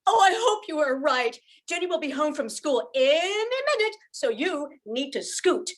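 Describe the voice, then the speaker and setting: Young widow, anxious and tender, played by an older woman, high voice, vintage radio-drama delivery. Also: big pitch swings, moderate pace. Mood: nervous. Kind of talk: old-time radio drama acting.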